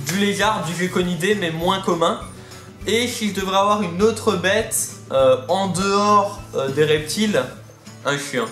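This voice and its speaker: Man, lisping articulation, fairly high voice, chatty rambling host, roomy sound. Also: unhurried, fairly bright tone. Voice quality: atypical articulation, slight lisp-like speech impediment. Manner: talkative, rambling, repeats himself. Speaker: YouTube host of a reptile hobby channel. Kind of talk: casual chatty FAQ video. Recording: roomy echo, faint music behind.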